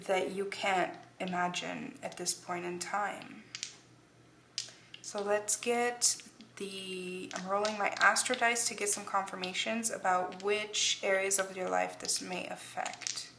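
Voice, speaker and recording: A woman's voice: medium pitch, creaky, fairly bright tone, a little hiss or hum. Rambling woman reading tarot cards. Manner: rambling, restarts phrases often. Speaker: tarot reader. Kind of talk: casual tarot reading to camera.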